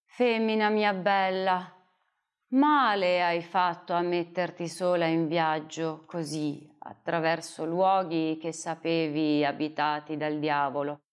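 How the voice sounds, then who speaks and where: A woman playing a calm old bearded bandit. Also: fairly low pitch, slow pace, smooth, voice pushed down. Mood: grave. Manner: calm, grave.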